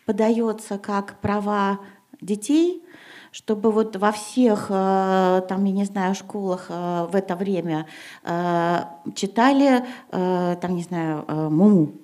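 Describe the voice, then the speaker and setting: A middle-aged woman teacher talks through a panel discussion with a mellow, dark voice. She stumbles over fillers and restarts, then leans hard on her key words. Her pitch sits in an ordinary middle range. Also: takes it slowly. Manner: hesitant, many fillers, rising to emphatic. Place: gymnasium hall in front of an audience.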